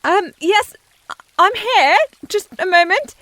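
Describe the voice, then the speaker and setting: A woman playing a proud, easily flustered young aristocratic lady in a historical ASMR romance, breathy and refined, often dropping to a whisper. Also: extremely high pitch, lively intonation, measured pace, fairly bright tone, exaggerated voice. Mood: flustered, polite. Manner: haughty, refined, hesitant when flustered.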